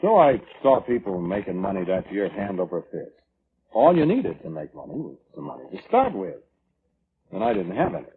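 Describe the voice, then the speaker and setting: An actor playing a defensive, weaselly brother testifying at an inquest, halting and evasive, in old radio drama style. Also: male voice, lowish voice, sing-song. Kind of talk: 1940s radio drama acting. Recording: tinny, noisy old radio recording.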